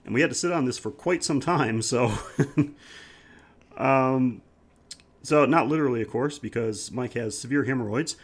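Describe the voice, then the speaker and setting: Male podcast host, medium pitch, head cold, chatty and self-mocking. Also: unhurried, pauses often. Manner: joking, self-deprecating. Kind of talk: casual chatty podcast hosting.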